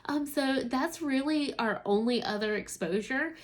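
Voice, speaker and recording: A woman's voice: high voice, unhurried. A woman, a homeschooling mother, giving an enthusiastic, casual product review in a talking-to-camera style.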